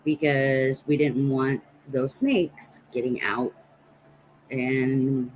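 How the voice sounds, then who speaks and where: A woman's voice: very deep voice, extremely slow, a little mellow, a little hiss or hum, poor audio. An American woman telling rambling personal stories casually to livestream viewers, as the host of the stream. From Nebraska, United States. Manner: rambling, anecdotal, chatting with viewers. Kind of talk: casual storytelling chat on a livestream.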